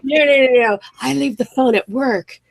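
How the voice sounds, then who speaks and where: A woman co-hosting a casual group chat, sick, her voice deeper and more gravelly than usual, acting out what other people say. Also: moderate pace. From Pacific Northwest, US. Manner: animated, acts out quoted customers and family. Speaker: podcast co-host.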